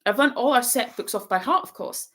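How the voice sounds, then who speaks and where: A woman voicing a bossy, eager young schoolgirl who talks very fast. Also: high voice, sing-song, a bit bright, slight background noise, voice pushed up, heavily acted. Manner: fast, breathless, self-important.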